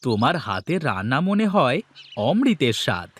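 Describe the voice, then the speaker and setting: A man's voice: medium pitch, big pitch swings, normal speed, a bit bright, a little hiss or hum. A man voicing a scheming, boastful village husband in a cartoon, bossy and coaxing in manner. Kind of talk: Bengali cartoon voice acting.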